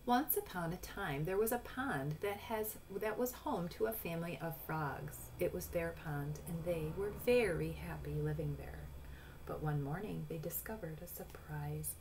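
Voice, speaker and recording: Female storybook reader, forties, low voice, instructive asides, noisy home webcam sound. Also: a little mellow. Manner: instructive, addressing young children, pausing to point things out. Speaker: picture book read-aloud reader. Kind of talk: storybook read-aloud with explanatory asides. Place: small room at home.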